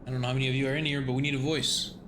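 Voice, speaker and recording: A man's voice: medium pitch, level tone, rapid-fire, background noise. Rambling self-mocking man streaming a game. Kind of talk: casual game commentary and reading aloud.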